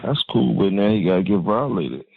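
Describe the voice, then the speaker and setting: A man's voice: on the low side, lively intonation, normal speed, gravelly, slight background noise. A man with an American accent chatting casually in street slang. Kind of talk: casual street-talk chat. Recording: thin phone-like sound.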